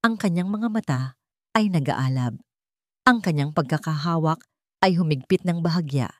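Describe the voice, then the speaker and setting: Female Filipino storyteller, lower register, dry close sound, solemn and emotive dramatic narration. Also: normal speed, no room sound.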